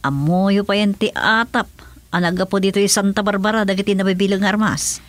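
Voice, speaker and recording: An actress playing an inquisitive, expressive woman in a radio serial drama. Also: mid-range voice, a little fast, dry recording, close to an everyday voice. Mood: curious, concerned.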